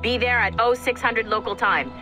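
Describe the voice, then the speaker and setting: Brash, sarcastic team handler. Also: female voice, fairly high pitch, normal speed. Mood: brisk, sarcastic. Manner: snide, commanding. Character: brash, sarcastic female strike-team handler barking orders. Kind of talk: scripted game dialogue, mission briefing. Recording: loud music behind the voice.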